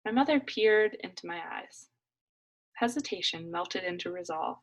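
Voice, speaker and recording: A woman narrating a children's story as a read-aloud, in an expressive storytelling style. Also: lowish voice, normal speed, low-quality recording.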